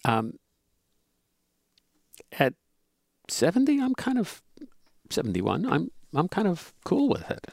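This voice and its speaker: A man in his seventies talking in a measured, hesitant way with many fillers, as a podcast co-host in casual conversation. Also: high voice, huge pitch swings, gravelly, a little mellow, no echo, studio quality. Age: 70s.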